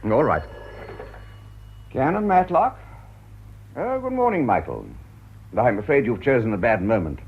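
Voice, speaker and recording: A man's voice: mid-range voice, very animated, measured pace, long pauses, husky, narrow, tinny audio. An actor playing an anxious, well-spoken English clergyman in a British radio drama, hesitant and fretful. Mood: shaken, urgent. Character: flustered, anxious cathedral canon, earnest married clergyman.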